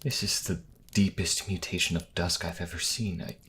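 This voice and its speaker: An actor playing an earnest young priest healer in a fantasy audio drama, anguished and determined, with a hesitant, stammering delivery. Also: male voice, sits low, level tone, moderate pace, sharp tone, slight background noise. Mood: anguished concern. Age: young adult.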